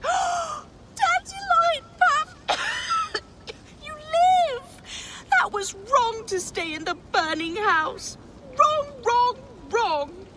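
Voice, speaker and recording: Dying, doting old lady for a video game: piercingly high, very slow, childlike and tearful, faint noise behind. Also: expressive, exaggerated voice. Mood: tearful relief, scolding. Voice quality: coughing, breathy cries. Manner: childlike baby talk, swooping and halting. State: weak, crying, coughing. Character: dying, doting old woman fussing over her pet fox. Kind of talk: dramatic video game acting.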